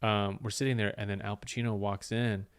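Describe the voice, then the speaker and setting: Smooth-voiced man telling a casual story. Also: lowish voice, level tone, a little fast. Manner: rambling storyteller, acts out quoted lines. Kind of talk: casual chat.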